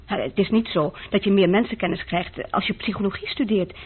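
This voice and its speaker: An actress playing an earnest, thoughtful young female university student in a radio drama, hesitant and gently frank. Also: mid-range voice, a little fast, husky, close to an everyday voice. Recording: muffled old radio recording.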